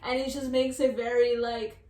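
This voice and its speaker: A woman's voice: fairly high pitch, little pitch movement, normal speed, warm tone, echoey room, far from the mic. A woman giving casual, slangy reaction commentary as the host of a video. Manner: informal, slangy.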